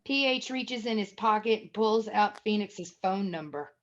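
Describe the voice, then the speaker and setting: A woman's voice: medium pitch, animated, moderate pace. A woman reading stage directions as the narrator of a screenplay table read. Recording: dull, lo-fi audio.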